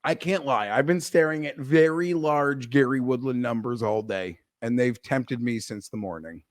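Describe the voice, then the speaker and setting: A hoarse man co-hosting a casual golf betting chat, rambling and leaning on filler words. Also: mid-range voice, moderate pace, no echo, clean studio sound. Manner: rambling, leans on "like" and restarts. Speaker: golf betting analyst co-host.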